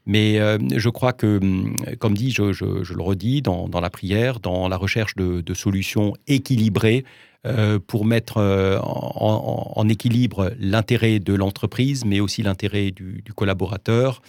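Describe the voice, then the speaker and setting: Low gravelly Alsatian-born man. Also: fairly even intonation, darkish tone, no room sound, studio quality. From Alsace, France. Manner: measured, hesitant, many restarts. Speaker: interviewee, business executive. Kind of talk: interview conversation.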